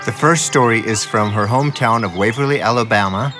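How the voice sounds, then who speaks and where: A man's voice: on the low side, a little fast. A man hosting a documentary with scripted narration. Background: music.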